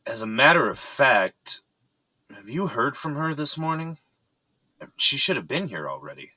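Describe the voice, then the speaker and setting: A man's voice: medium pitch, sing-song, measured pace, sharp tone, dry recording, exaggerated voice, low-quality recording. An actor playing a composed, serious, fatherly boss of an architecture firm in an audio drama.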